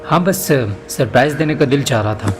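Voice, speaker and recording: Male actor as lively student adventurer, young man, audio drama, mid pitch, background music. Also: fairly quick, lightly acted. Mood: eager. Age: young adult.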